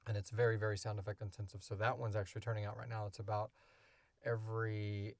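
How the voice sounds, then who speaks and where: A man's voice: on the low side, little pitch movement, fast, creaky, no echo. A man co-hosting a show, chatting off the cuff in an informal, rambling way as he explains things. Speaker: podcast co-creator and co-host. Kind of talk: casual unscripted Q&A chat.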